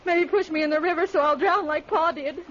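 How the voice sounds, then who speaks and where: High-voiced defiant backwoods girl. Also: a little fast, fairly bright tone. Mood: accusing, defiant. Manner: accusing, folksy rural speech. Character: defiant, grieving backwoods girl, skinny daughter. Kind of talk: old-time radio drama acting. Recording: muffled, noisy old radio recording.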